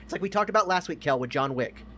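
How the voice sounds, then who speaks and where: A man with a voice a little higher than average co-hosts a casual entertainment podcast. He is emphatic and opinionated and swears freely. Faint noise sits behind his voice. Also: lively intonation, fast talker, bright, forceful tone. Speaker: podcast co-host. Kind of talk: casual film and game discussion.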